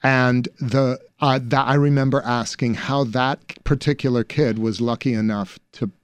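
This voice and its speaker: A man in his forties from Pittsburgh talks about his acting career in a relaxed interview. He is reflective, often backing up to restart a sentence and laughing easily. His voice sits at an ordinary pitch, recorded close on a studio mic with no room sound. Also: measured pace, clean tone, no echo. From Pittsburgh, USA. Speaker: stage actor guest. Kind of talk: casual interview chat.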